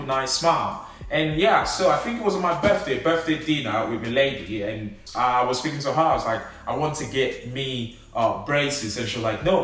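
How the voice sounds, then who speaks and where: A chatty, excitable man talks casually through an unboxing, thinking aloud as he goes. His voice sits at an average pitch for a man. It is recorded from a distance in a strongly echoing room. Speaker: vlogger. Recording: echoey room, mic at a distance.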